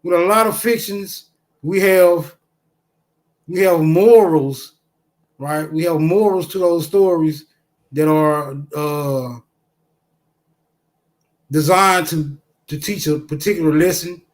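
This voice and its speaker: A man in an informal debate, putting questions to others in formal, deliberate wording. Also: fairly high pitch.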